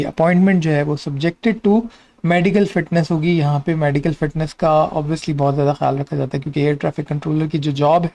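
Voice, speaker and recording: A man's voice: on the high side, dark tone. A man with a Pakistani accent giving an informal, instructive explainer, mixing in many English terms as he walks through details. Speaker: host of an exam and job guidance channel.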